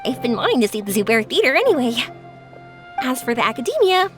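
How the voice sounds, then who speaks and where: Casting: upbeat young woman for anime game; high voice, lively swings, curious, chatty, easygoing. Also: fast talker. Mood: slightly self-conscious. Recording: music behind the voice.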